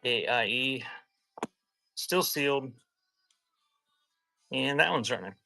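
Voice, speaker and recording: A man with a bright, forward voice at a middling pitch, recorded close and dry. He sells live in an informal, conversational way, drifting between item call-outs and rambling asides. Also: lively intonation, slow, gravelly, dry recording, soft background music. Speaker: live auction seller, host. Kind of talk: casual live-selling patter with chatty anecdotes.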